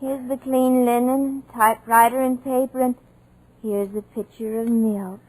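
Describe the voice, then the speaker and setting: An actress playing a deluded, wistful housekeeper in a 1940s radio drama, with a plaintive, dreamy, childlike manner. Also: female voice, on the high side, fairly even intonation, unhurried, dark tone, poor audio.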